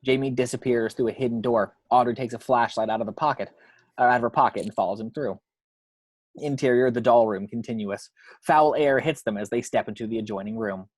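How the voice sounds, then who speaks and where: A man narrates the action lines of a screenplay. His voice is medium in pitch and recorded dry, without room sound. He tells it in a measured way that builds suspense. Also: no echo. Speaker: narrator reading action description.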